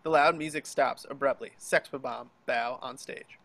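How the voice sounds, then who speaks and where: A man's voice: mid-range voice, steady tone, unhurried, gravelly, very noisy. A man narrating scripted action and scene headings for an amateur table read. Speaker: stage-direction reader. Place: home, group video call.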